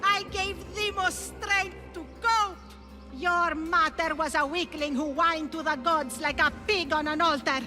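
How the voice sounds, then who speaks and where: A woman's voice: very high voice, steady tone, measured pace, over music. A woman playing a cruel, gloating cult priestess in a video game, with a menacing, theatrical delivery. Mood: scornful, defiant. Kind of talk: dramatic video game voice acting.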